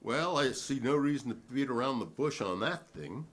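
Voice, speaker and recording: Man playing a defiant, animated American hearing witness. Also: medium pitch, moderate pace. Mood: matter-of-fact. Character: defiant, principled male witness at a 1950s hearing. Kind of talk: dramatic reading of a hearing transcript.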